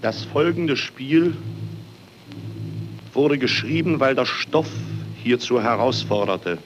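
A man giving a solemn, declamatory introduction in 1920s radio style, measured and emphatic. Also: mid-range voice, lively intonation. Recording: dull, very noisy archive radio recording.

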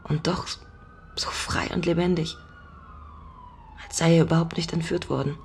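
A woman's voice: low voice, steady tone, normal speed, a little mellow, slight background noise. An actress playing a lonely middle-aged woman in an intimate radio drama, playful yet haunted by her vanished father, with a reflective, teasing way of talking. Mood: dreamy, wistful.

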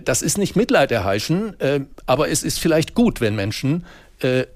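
A journalist discussing war reporting in a measured way, pausing often with fillers. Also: male voice, mid-range voice, darkish tone, dry recording. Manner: measured, hesitant with many fillers. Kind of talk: studio discussion.

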